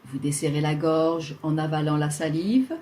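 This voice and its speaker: A woman leading a guided yoga relaxation, speaking soothingly and leaving long pauses between short instructions. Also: sits low, mellow.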